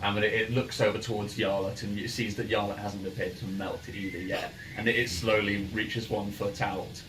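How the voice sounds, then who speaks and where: A man with a lowish voice runs a tabletop game, describing scenes casually as game master. He sits away from the mic, with clear room noise around him. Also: flat delivery, moderate pace, far from the mic. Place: in-person game session in a room, ambient music playing.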